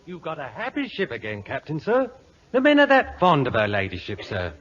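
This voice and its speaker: A loose-tongued sailor steward chatters deferentially in a fairly high voice, stumbling over words. His melody leaps about hugely. It rings with long reverb, like an old radio drama. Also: huge pitch swings, brisk, fairly bright tone, lo-fi sound. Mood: cheerful, familiar. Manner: deferential, chatty, flustered stammer.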